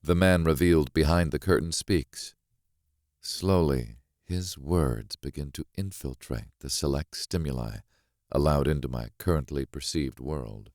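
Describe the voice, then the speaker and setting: A man with an extremely low voice reads a thriller audiobook. His delivery is deliberate, brooding and dramatic, and the sound is dry and close. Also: darkish tone, no echo. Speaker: audiobook narrator. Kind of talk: first-person dramatic scripted narration of a thriller.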